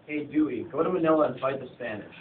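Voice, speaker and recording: A man's voice: mid-range voice, fast talker, very noisy, close to an everyday voice. A man playing a blunt, bossy male American political leader in an amateur puppet show. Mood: blunt, commanding. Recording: tinny phone recording, distant, echoey.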